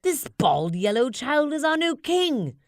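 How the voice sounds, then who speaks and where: A woman's voice: high-pitched, very animated, measured pace, no room sound, studio quality, voice pushed up, heavily acted. A woman voicing an astonished man making a grand proclamation in a children's storybook. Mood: awestruck.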